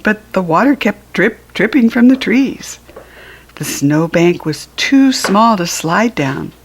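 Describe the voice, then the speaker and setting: A woman with an American accent reading a children's storybook aloud, expressively and with deliberate enunciation. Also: fairly low pitch, poor audio. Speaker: children's book reader. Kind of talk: scripted storybook narration for children.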